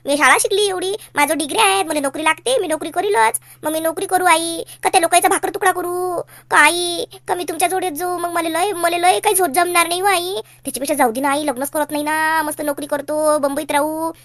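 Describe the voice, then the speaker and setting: A woman's voice: very high-pitched, steady tone, brisk, few pauses, fairly bright tone, no echo. A woman playing a pleading, stubborn young daughter in a cartoon comedy, with a Varhadi Marathi accent and a whiny, argumentative manner. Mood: stubborn, whining.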